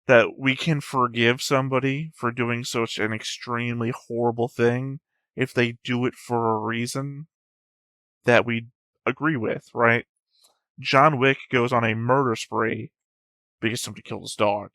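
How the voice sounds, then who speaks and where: A man's voice: medium pitch, steady tone, a little slow, a bit bright, no echo. A man giving off-the-cuff reaction commentary as a show host, thinking aloud and posing rhetorical questions in a musing way.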